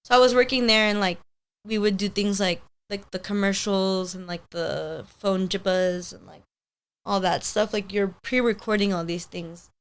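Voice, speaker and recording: A woman's voice: mid-range voice, fairly even intonation, normal speed, clean tone. A young woman in her twenties whose first language is Spanish, chatting casually as a podcast guest and filling her talk with "like". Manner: rambling, heavy use of "like", upbeat. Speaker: podcast guest, hair stylist. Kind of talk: casual chat.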